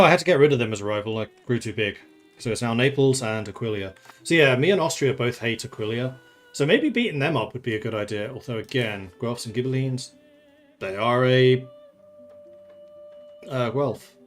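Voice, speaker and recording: A man's voice: medium pitch, normal speed, faint music behind. A man from the Netherlands who grew up in England, streaming a strategy game and thinking aloud in casual commentary, chatting with viewers and laughing often.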